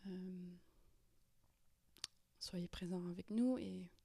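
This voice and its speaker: A young woman whose first tongue is guides a prayer and reads Scripture aloud, pausing often and slipping into whispers. Her voice is mellow and warm, and she takes her time. The sound is dry and close, with no room echo. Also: on the low side, creaky, husky. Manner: hesitant, many fillers and restarts, often drops to a whisper. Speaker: YouTuber leading prayer. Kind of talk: casual guided prayer and Bible reading.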